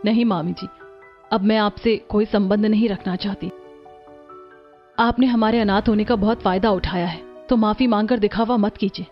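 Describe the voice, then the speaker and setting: A woman's voice: medium pitch, fairly even intonation, normal speed, soft background music, natural voice. Earnest young daughter-in-law, acted by a woman, muffled sound. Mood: firm, hurt. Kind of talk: cartoon story character acting.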